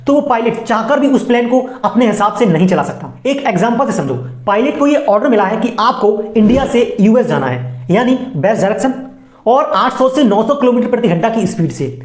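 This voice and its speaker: High-pitched Indian woman explainer, roomy sound. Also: very animated, fairly quick, darkish tone. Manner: chatty, colloquial, addresses viewers directly with playful asides. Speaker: explainer video narrator. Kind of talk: informal educational voice-over. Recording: roomy, echoing voice-over.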